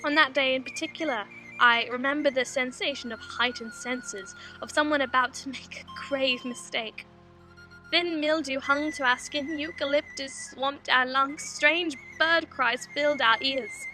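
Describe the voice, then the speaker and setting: A woman's voice: high-pitched, music in the background. A young woman playing an intense, repressed aristocratic spinster haunted by her youth, in a theatrical confessional monologue. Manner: theatrical, confessional, deliberate phrasing. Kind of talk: dramatic monologue. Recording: tinny band-limited noisy amateur film sound.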